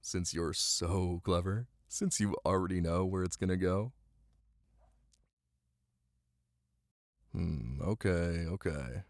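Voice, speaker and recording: A man playing a caring, playful boyfriend who reads tenderly in an intimate ASMR style, with a deep, gravelly voice. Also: unhurried, no room sound. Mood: cozy, teasing. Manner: tender, teasing, close and cozy. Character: caring, playful young boyfriend reading to his partner. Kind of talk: intimate ASMR roleplay and bedtime story reading. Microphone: close microphone. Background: rain ambience.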